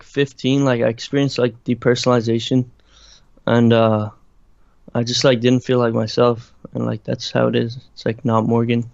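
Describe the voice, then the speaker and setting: A young man from Ireland with a slight Irish accent, answering interview questions in a halting, casual way, full of fillers and restarts. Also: mid-range voice, gravelly, dry recording. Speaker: interviewed musician. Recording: dry, muffled lo-fi recording.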